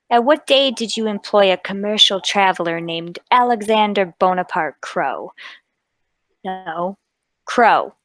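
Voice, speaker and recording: A woman playing a brisk, authoritative, businesslike Scotland Yard police inspector in a mystery radio play table read. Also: medium pitch, fairly bright tone, dry recording. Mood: questioning.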